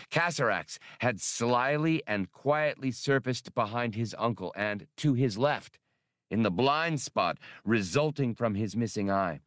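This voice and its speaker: A man's voice: medium pitch, fairly bright tone, dry recording, studio quality. A man reading an adventure novel aloud as an audiobook narrator, in dramatic scripted narration.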